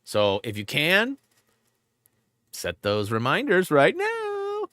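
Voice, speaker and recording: A man's voice: fairly high pitch, wildly swooping pitch, slow pace, pushed and bright, dry recording, studio quality. A man hosting a gaming livestream in a rambling, casual way, full of fillers, and a bit stuffed up. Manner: rambling, filler-heavy, lots of "like". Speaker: livestream host.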